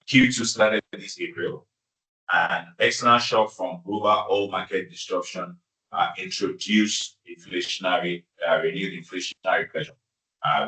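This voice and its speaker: A man, speaking as an executive presenting financial results in a formal, halting, measured way. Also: deep voice, pushed and bright. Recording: distant, echoey conference call audio.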